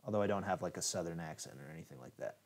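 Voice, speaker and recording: A man's voice: sits low, expressive, rapid-fire, gravelly. Casual American man hosting a livestream. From United States. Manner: casual, chatty, many fillers. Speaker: software tutorial host. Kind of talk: live-streamed photo editing tutorial.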